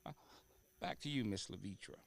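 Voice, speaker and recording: A man hosting a talk show in a conversational interviewing style, often halting as he searches for words. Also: lowish voice, creaky. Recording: noticeable background room noise.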